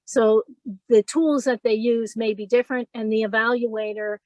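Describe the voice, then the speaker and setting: Higher-voiced woman presenting a webinar. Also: fairly even intonation, moderate pace, darkish tone, no room sound, poor audio. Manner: friendly, many fillers and restarts. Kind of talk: casual explanatory webinar talk.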